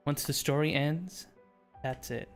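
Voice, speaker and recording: A man hosting a casual playthrough, reading a visual novel's narration aloud and sometimes halting over unfamiliar words. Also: a little high, steady tone, clear, resonant tone. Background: background game music.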